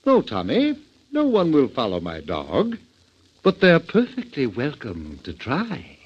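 Polished, condescending criminal mastermind, old radio. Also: male voice, medium pitch, wildly swooping pitch, measured pace, breathy edge, full character voice. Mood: confident, explaining. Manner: formal, wordy diction, condescending. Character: polished, erudite criminal mastermind called Professor, calm and courteous. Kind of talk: 1940s radio drama acting. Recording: dull old 1940s radio recording.

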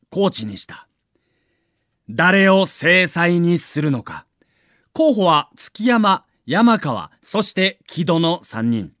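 High-pitched, expressive male documentary narrator. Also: no echo, studio quality. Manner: storytelling with dramatic emphasis. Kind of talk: scripted dramatic documentary narration.

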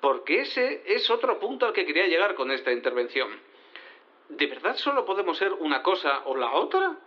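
An actor playing a flustered quantum cat turned lawyer, rambling and hesitant in a comic audio drama courtroom. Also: male voice, fairly high pitch, moderate pace, muffled recording. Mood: excitable, rambling. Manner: hesitant, stammering, excitable, rambling.

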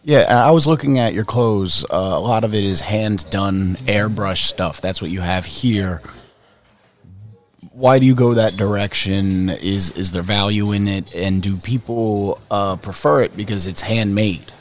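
A man's voice: fairly low pitch, a little slow. A man working as a correspondent, asking questions in a laid-back, joking way, sometimes tripping over his words. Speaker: correspondent interviewer. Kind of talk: casual interview questions.

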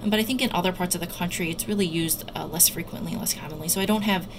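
A woman doctor and researcher giving careful, somewhat halting explanatory answers as an interview guest. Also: lowish voice. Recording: loud background noise.

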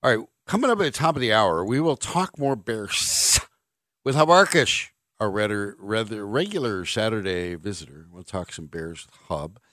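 A man's voice: fairly low pitch, animated, normal speed, a bit bright, no echo. A middle-aged man hosting sports talk radio from a studio mic, blunt and sarcastic as he reads listener texts and gives his opinions. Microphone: studio microphone.

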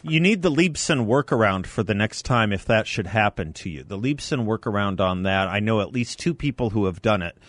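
A man's voice: fairly low pitch, animated, brisk, hardly any pauses, no room sound. A man hosting a conversational talk radio interview. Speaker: radio talk show host.

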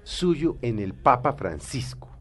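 A man hosting an interview, introducing the guest with many pauses and fillers, then asking eager follow-up questions. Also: medium pitch, very animated. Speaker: interview host. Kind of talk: TV interview host.

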